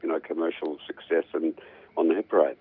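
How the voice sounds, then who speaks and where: Very deep-voiced older man. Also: level tone, brisk, husky, phone-quality sound. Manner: rambling, hums riffs aloud. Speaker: guest musician. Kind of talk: relaxed radio interview.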